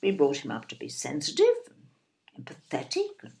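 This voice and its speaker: A woman's voice: rumbling low, huge pitch swings, a little fast, vocal fry, a little mellow, full character voice. A woman acting in an audio play as an indignant, snobbish older English mother, fussy and emphatic, with an English accent. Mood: indignant blaming. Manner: emphatic, repetitive, tag questions.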